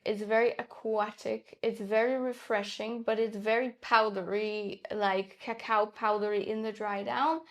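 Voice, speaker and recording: A young woman whose first language is Polish, chatting enthusiastically in a casual perfume review, with frequent fillers. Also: fairly high pitch.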